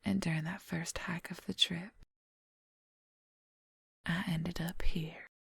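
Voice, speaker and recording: A woman performs as a possessive werewolf woman in an ASMR roleplay. She whispers slowly with a very husky, breathy voice, intimate and wry. The sound is close and dry. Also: on the low side, flat delivery, a bit bright, no echo, heavily acted. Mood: reflective. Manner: slow, intimate, confiding. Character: possessive female werewolf, former lawyer, wry intimate lover. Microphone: close microphone, dry.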